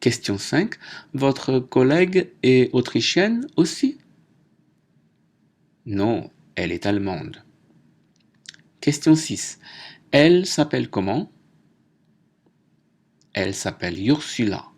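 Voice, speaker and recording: A man teaching a French lesson, reading out names, nationalities and exercises slowly and deliberately. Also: mid-range voice, very animated, vocal fry, a little mellow. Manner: slow, deliberate dictation. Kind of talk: slow lesson narration.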